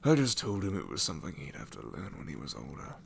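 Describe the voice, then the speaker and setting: A man voicing a sassy male shadow demon in an ASMR roleplay, snarky and rambling with soft whispered asides. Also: very deep voice, wildly swooping pitch, quick pace, vocal fry, a little hiss or hum, heavily acted.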